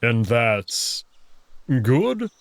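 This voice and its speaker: A man playing an earnest, nervous wolf alien who speaks in formal, halting phrases. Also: medium pitch, big pitch swings, no echo, full character voice. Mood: unsure, anxious.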